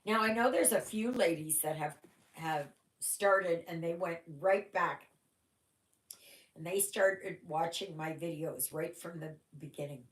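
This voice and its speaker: Slow-talking woman hosting a stitching livestream. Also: fairly low pitch, reverberant, low-quality recording. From Canada. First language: German. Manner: chatty, rambling, frequent "oh my gosh". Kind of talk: casual livestream chat.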